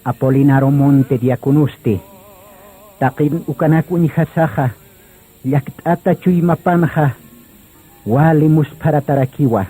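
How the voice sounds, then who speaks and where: A man narrating a scripted religious radio drama in a solemn, deliberate manner. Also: a little high, dark tone, slightly rough, faint music behind, poor audio. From Bolivia.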